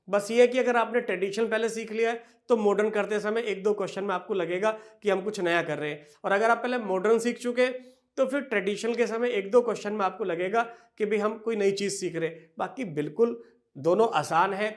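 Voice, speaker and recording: A man teaching accounting in a classroom lecture style. He addresses the students fondly, poses questions and then answers them himself, and slows right down when he dictates entries. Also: high voice. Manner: slow, drawn-out dictation of entries.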